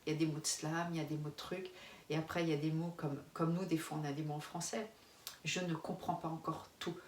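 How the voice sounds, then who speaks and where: French woman in her forties, candid rambling vlog chat, low-ish voice, echoey room, set back from the mic, faint background hum. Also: little pitch movement, fast, darkish tone. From France. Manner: frank, rambling, many restarts, laughs often. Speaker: expat family vlogger. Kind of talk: casual face-to-camera chat. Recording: roomy, distant mic, faint ambience.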